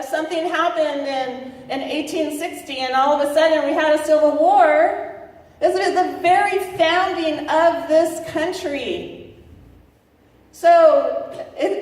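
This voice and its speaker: A woman with a fairly high voice gives a slow, unscripted keynote speech, pausing on fillers and restarting her sentences. She speaks bluntly and laughs between her lines. The sound is far off the mic and rings with long reverb in a large convention hall. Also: a little mellow.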